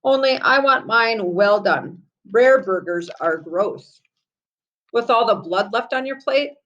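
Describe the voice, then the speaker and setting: A woman's voice: mid-range voice, expressive, slow, a bit bright, highs cut off. A woman voicing a teasing, squabbling young boy in a children's storybook, with exclamatory delivery. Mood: eager.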